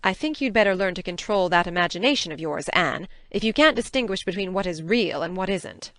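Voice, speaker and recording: A woman playing a stern, practical middle-aged spinster farm woman in an audiobook drama, crisp and scolding in manner. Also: on the low side, expressive, a little fast, fairly bright tone, dry recording. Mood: cross, severe.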